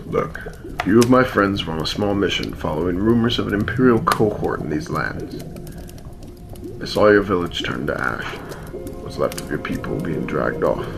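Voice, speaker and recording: A man acting a wry, world-weary, gruffly protective rebel in a fantasy radio play, with a teasing, matter-of-fact manner. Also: deep voice, animated, normal speed. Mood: grave. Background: music under the voice.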